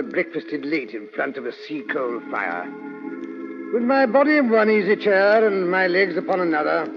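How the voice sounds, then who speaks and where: An actor playing a genial, fussy older English gentleman storyteller in old radio drama, with a British accent and chatty, old-fashioned phrasing. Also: fairly high pitch, vocal fry. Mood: reminiscing. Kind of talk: 1940s radio drama acting. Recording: muffled old radio broadcast, reverberant.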